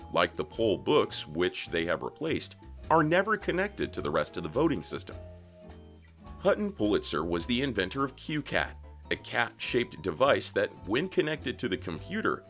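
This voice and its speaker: Lively low-pitched male narrator over music. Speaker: hired voice actor reading a statement. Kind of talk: scripted narration.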